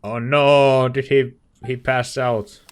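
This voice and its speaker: A man giving casual let's play commentary, hesitant, reacting in short, halting bursts. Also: medium pitch, level tone, clear, resonant tone.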